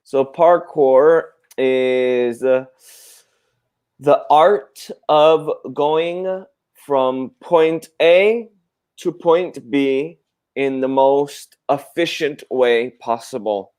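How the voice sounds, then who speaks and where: A man from Puerto Rico, co-hosting a casual live stream and explaining things slowly. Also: mid-range voice, darkish tone, clear, resonant tone. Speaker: co-host.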